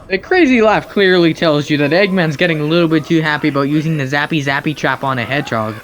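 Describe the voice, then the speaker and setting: A man's voice: a little high, quick pace, a bit bright. A man giving mocking, nitpicking commentary on game cutscenes in an incredulous, sarcastic manner. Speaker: video commentator.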